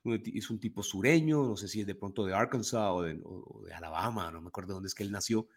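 A man's voice: lowish voice, fast, breathy edge. A man with a Colombian Spanish accent co-hosting a sports podcast, talking animatedly and restarting his sentences often. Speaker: podcast co-host. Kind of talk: casual sports talk.

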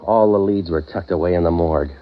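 A man with an American accent playing a terse, deadpan, cynical hard-boiled man for hire in a 1940s radio drama. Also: deep voice, fast talker, natural voice. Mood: brooding, wry. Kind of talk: 1940s radio noir acting and narration. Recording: dull vintage 1940s radio recording.

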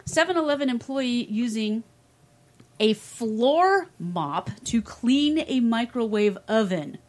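Woman, podcast co-host, lively pitch, slightly high voice, reads news with wry asides, laughs often. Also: slow. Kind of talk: casual banter, reading news stories aloud.

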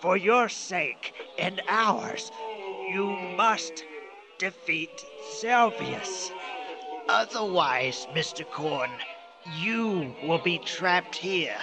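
An actor playing a grave, pleading trapped dead soul, speaking in halting, ominous, theatrical phrases in a horror audio drama. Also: male voice, high voice, lively intonation, music in the background, full character voice. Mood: urgent, ominous.

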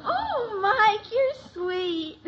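A woman's voice: very high voice, sing-song, very slow, exaggerated voice. An actress playing an anxious, tearful little girl, a tomboy kid sister, in a 1950s radio sitcom. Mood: touched. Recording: dull, faintly noisy old radio recording.

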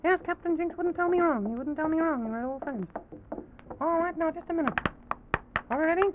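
High-pitched, stammering little president character. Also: male voice, brisk, background noise. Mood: bossy, hurried. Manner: stammering, repeats his words, folksy exclamations. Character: excitable, bumbling, boastful little cartoon airplane-captain president. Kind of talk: old children's radio storytelling. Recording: dull, muffled old radio recording.

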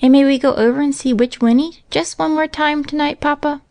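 Lady doing a high little girl voice, sweet, pushy and eager, kids storybook style. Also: fairly even intonation, brisk, a little mellow, no echo. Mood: sweetly curious. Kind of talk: storybook character voice.

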